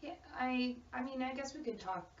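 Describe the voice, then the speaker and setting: A woman playing a shy twelve-year-old immigrant schoolgirl in a counseling role-play, talking haltingly with many fillers and restarts. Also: high voice, a little hiss or hum. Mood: hesitant. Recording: distant, roomy, dull recording.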